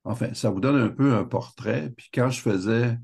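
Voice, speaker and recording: Gravelly, husky Québécois man. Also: mid-range voice, a little mellow. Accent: Québécois French. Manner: halting, many restarts. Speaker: association member and speaker. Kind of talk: panel talk.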